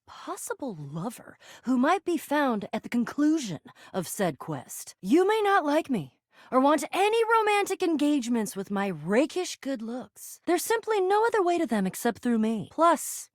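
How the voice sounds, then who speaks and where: A woman's voice: high voice, big pitch swings, normal speed, no echo, clean studio sound. A woman playing a plucky, eager cardboard box adventurer and noble thief in a video game, theatrical and grandiose with giddy slang. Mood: rallying, daring. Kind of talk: animated game character dialogue.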